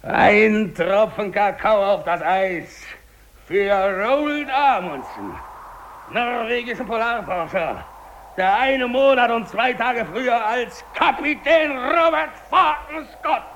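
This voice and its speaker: Doomed polar explorer, 1950s radio drama, gravelly, widely swinging pitch, theatrical, defiant, despairing. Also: male voice, expressive, slow pace, fairly bright tone, highs cut off, a little hiss or hum, exaggerated voice. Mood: solemn, declaiming. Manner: theatrical, swinging between muttering and declaiming. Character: dying English polar explorer, alone, feverishly defiant and despairing.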